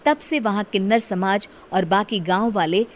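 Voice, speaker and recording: A woman's voice: mid-range voice, moderate pace, slight background noise. Woman narrating a folk story.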